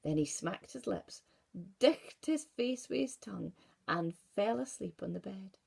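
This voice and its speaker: A woman teacher with a Scottish accent reading a storybook aloud to pupils and asking gentle questions. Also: mid-range voice, lively intonation, measured pace, vocal fry, breathy edge. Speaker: primary school teacher.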